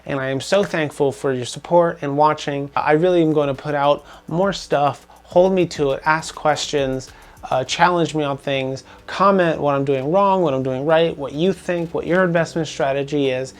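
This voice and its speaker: A man's voice: on the high side, normal speed. Scratchy-voiced young man vlogging. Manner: rambling, filler-heavy, earnest. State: slightly scratchy voice. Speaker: finance vlogger. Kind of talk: casual portfolio update to camera.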